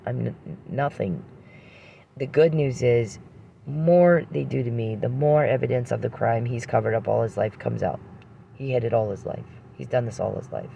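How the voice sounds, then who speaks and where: Male vlogger, heavy vocal fry, emphatic and repetitive off-the-cuff delivery with halting restarts, slight background noise. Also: medium pitch, a little mellow. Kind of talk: unscripted personal monologue.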